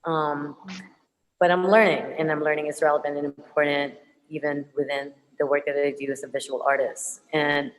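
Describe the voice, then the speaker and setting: A woman's voice: low voice, level tone, moderate pace, big-room sound, rough recording. A woman whose first language is Tagalog, an artist answering an audience question on a panel, hesitant and full of ums and restarts. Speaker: muralist, visual artist panelist.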